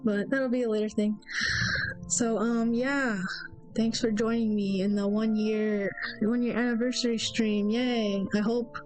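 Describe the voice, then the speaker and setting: A woman's voice: a little high, little pitch movement, measured pace, a little mellow, low-quality recording. A young woman streaming her solo game development, chatting casually with lots of fillers and trailing thoughts, her nose stuffy and throat sore. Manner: rambling, hesitant, many fillers. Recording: faint music behind.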